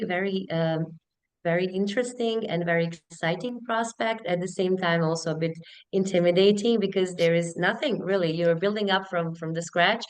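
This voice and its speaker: A woman's voice: fairly low pitch, rough recording. A woman in her thirties with a Bosnian accent, giving earnest, wordy conversational answers as a webinar guest. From Bosnia and Herzegovina. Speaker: HR business partner, webinar guest.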